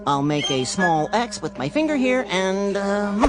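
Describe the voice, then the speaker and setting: A man's voice: high voice, very animated, a little slow, loud music behind the voice. An actor in a radio drama playing a meek, mild little man of miraculous strength, speaking hesitantly and politely. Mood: politely eager.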